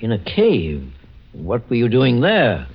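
Courteous old detective, expressive, muffled. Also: male voice, medium pitch, husky, heavily acted. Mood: calm inquiry. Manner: measured, polite, probing. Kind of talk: 1940s radio drama acting. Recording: muffled old radio recording.

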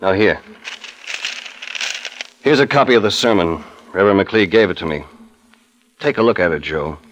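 A low-voiced, world-weary police detective played by a man in a 1940s radio drama. He talks in clipped, understated lines, like a terse cop. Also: normal speed, slight background noise, natural voice, poor audio. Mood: grave, firm.

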